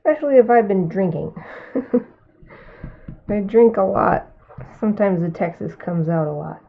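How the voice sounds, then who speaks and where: A woman's voice: mid-range voice, moderate pace, creaky. A woman with a Californian accent, an artist chatting casually while she paints live, musing aloud and murmuring to herself between remarks. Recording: tinny phone-like sound.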